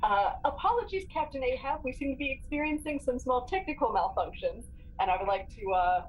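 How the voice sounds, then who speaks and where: A woman's voice: high voice, brisk, a little hiss or hum, poor audio. A woman playing a tabletop role-playing game, casually talking through her decisions.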